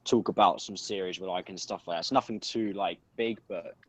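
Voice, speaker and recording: A man's voice: deep voice, brisk. A young man, a college student, speaking as a podcast guest in a laid-back way full of "like". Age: young adult. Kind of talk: casual podcast chat. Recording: dull lo-fi mic.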